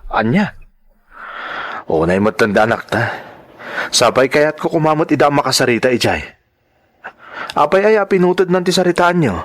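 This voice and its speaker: A man's voice: fairly high pitch, expressive, moderate pace, pauses often, close to an everyday voice. A man with a Filipino accent playing an exasperated husband and father in an audio drama.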